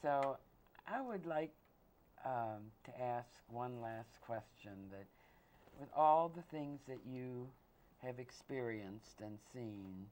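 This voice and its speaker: A woman born in Austria hosting an interview, asking questions in a measured, earnest way. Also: rumbling low, lively intonation, a little mellow, a little hiss or hum. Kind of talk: community TV interview.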